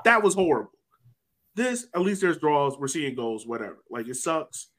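A man's voice: a little high, very animated, moderate pace, fairly bright tone. A man talking casually and colloquially as a sports podcast host, sometimes voicing imagined reactions.

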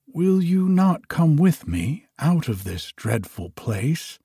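Young prince, earnest and brave, a touch higher, husky and slow, as read by a man. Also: very animated, mellow, dry recording, clean studio sound, full character voice.

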